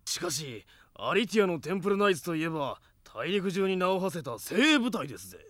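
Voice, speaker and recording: A man playing a wary bandit henchman in a Japanese radio drama, with the rough talk of an underling. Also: sits high, sing-song, a little fast, fairly bright tone, dry recording, clean studio sound.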